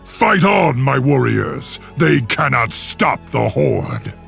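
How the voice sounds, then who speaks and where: An actor voicing a gruff, battle-hungry male Horde warrior for a video game, gravelly and forceful. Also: medium pitch, sing-song, slow, faint music behind, full character voice. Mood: rallying. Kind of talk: video game hero voice lines.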